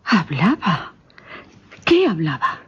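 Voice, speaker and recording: A woman's voice: fairly low pitch, wildly swooping pitch, takes it slowly, husky, exaggerated voice. An actress playing an anxious, incredulous young lady questioning a witness in a radio play, breathless and dramatic. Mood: confused, incredulous. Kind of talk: suspense radio theatre acting. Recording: dull, muffled radio recording.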